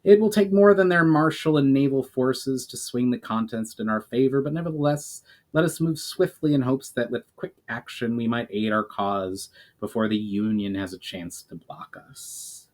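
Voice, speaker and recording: A man's voice: medium pitch, normal speed, few pauses, lightly acted. A man voicing a grave, knowledgeable elf mage on a quest, speaking formally and earnestly in a storybook reading. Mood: resolute.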